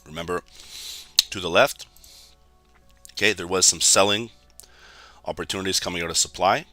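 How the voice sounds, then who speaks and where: A man from Canada with a raspy, hoarse voice, giving casual live commentary on a trading stream and talking straight to his viewers. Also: sits low, normal speed, sharp tone, dry recording, faint music behind. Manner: chatty, keeps addressing viewers as guys.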